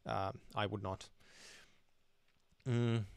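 A man's voice: lowish voice, drawn-out words, vocal fry, smooth. Young man hosting a live Q&A. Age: young adult. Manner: relaxed, many fillers and restarts, reads chat questions aloud. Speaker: channel host answering viewer questions. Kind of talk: live stream Q&A, casual. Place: dim home room with shelves. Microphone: studio mic on boom arm close to mouth.

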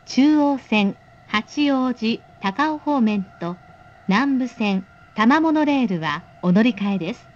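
High-voiced woman making formal train announcements. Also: lively intonation, slow pace, muffled recording. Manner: polite, formal, clearly enunciated. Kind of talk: scripted recorded announcement.

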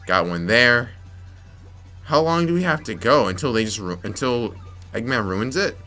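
A young man commentating his gameplay, frustrated and muttering to himself with sudden yelps, slightly raspy from yelling. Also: medium pitch, lively intonation, moderate pace. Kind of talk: let's play commentary. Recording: faint game music behind.

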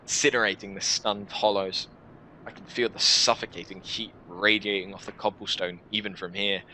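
A man in his twenties reading a first-person story aloud in an expressive, deliberate, dramatic style. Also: on the low side, slight background noise, poor audio. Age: 20s. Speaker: video creator reading a visual novel. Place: small room at home, indoors.

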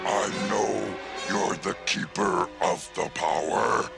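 An actor playing a brutal, demanding marauder king with a gravelly voice. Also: sits low, slow, over music, full character voice. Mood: menacing.

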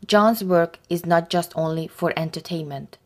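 A woman reading scripted documentary narration in a measured, even way. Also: fairly low pitch.

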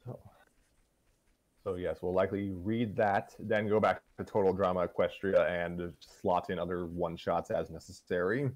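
A man co-hosting a show in casual, unscripted chat, talking in a halting, self-deprecating way. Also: low voice, level tone. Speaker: co-host of a fan fiction reading show.

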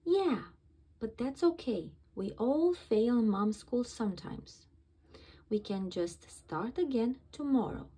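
Woman playing a patient, lively-voiced mother dog. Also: a little high, slow pace, husky, heavily acted. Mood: reassuring.

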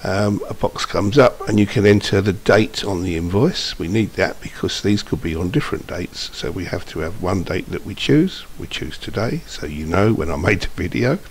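A man narrating an informal software demonstration, explaining step by step in a slightly hesitant, unscripted way. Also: low-pitched, moderate pace, hardly any pauses. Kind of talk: informal screencast tutorial narration. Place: indoors, voice-over for screen recording. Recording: steady background hiss.

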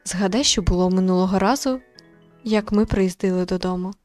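A woman reading the part of a gentle, anxious young man in a visual novel, softly and earnestly pleading. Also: medium pitch, fairly quick, soft background music, natural voice.

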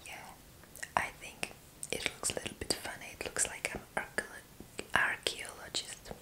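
A young woman doing a soothing, gentle ASMR roleplay, speaking softly and close to the mic. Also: low-quality recording. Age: young adult. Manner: soothing, slow and gentle, repeating words softly. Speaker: ASMR host. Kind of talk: whispered ASMR roommate roleplay. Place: small indoor room.

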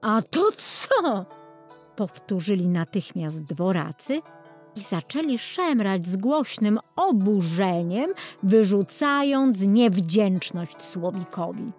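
A woman reading a children's storybook as a scripted, expressive and deliberate audiobook narration. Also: medium pitch, breathy edge, faint music behind.

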